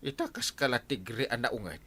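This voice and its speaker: An actor playing a hapless, henpecked village captain with a halting, whiny delivery and an Ilocano accent in a radio drama. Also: male voice, mid-range voice, sharp tone. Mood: complaining. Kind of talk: Filipino radio drama acting.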